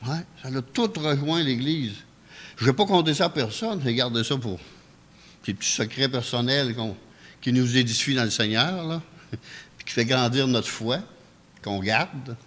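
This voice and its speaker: Calm Québécois man preaching in echoey church. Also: medium pitch, moderate pace. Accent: Québécois French. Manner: calm, anecdotal, chuckles often. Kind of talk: testimony sermon. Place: church sanctuary.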